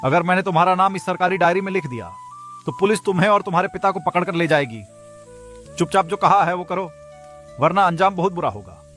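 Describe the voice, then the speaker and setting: Cartoon villain male actor: high-pitched, exaggerated, bullying swindler who pretends to be an official, light music underneath. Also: animated, a little fast, a bit bright. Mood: menacing. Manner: threatening, exaggerated. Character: blustering con-man thief posing as an official. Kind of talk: Hindi cartoon moral-story voice acting.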